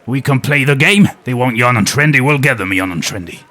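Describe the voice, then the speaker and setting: A man's voice: mid-range voice, animated, a little fast, sharp tone. A man playing an aging former nature documentary host with a wry, world-weary, conspiratorial manner. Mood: gruff. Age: older.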